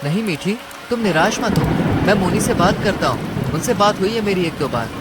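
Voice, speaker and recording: A man's voice: high voice, expressive, normal speed. A man voicing a worried, polite male mouse in a cartoon story, earnest and pleading. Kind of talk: Hindi cartoon story dialogue. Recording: clear rain and ambience noise.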